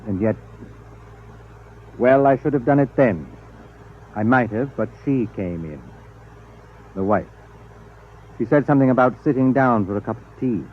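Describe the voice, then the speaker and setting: An actor playing a shaken spaceship crewman tormented by conscience, halting and brooding, in 1950s radio drama style. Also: medium pitch. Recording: muffled, noisy vintage radio recording.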